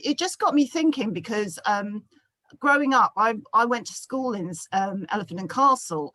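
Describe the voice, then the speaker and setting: A woman's voice: medium pitch, pushed and bright, no room sound, poor audio. Hesitant London woman, dry sound. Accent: London English. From South London, England. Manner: hesitant, many ums and fillers. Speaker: audience member asking a question. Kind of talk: casual personal remarks in a live discussion.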